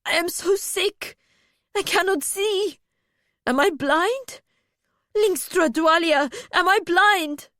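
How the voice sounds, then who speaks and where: A woman as a whimpering, high-voiced big man. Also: slow, sharp tone, dry recording, clean studio sound, heavily acted. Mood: near hysteria. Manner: whimpering, plaintive. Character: big, sickly, whining man on the edge of hysteria. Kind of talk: audiobook character voice.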